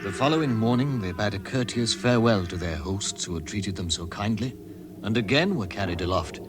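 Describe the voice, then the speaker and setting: A man narrating a fantasy quest as a measured storyteller in a vintage radio drama. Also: lowish voice, lively intonation, very noisy, close to an everyday voice. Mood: measured storytelling.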